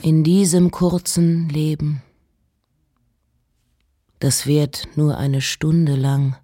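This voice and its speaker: A woman giving a literary reading of poems and letters with measured, deliberate phrasing. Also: low voice, little pitch movement, dark tone.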